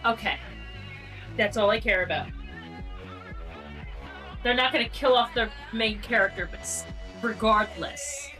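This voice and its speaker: A woman's voice: on the high side, unhurried, long pauses, bright, forceful tone. A woman chatting casually and informally as a co-commentator. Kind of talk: casual chat. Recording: distant from the mic, music behind.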